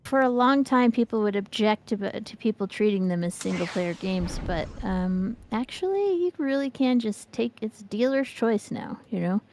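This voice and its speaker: A woman's voice: medium pitch, expressive, normal speed, hardly any pauses, a little mellow, a little hiss or hum. A woman in her fifties chatting casually and candidly about gaming as a streamer. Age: 50s. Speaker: game streamer, co-host.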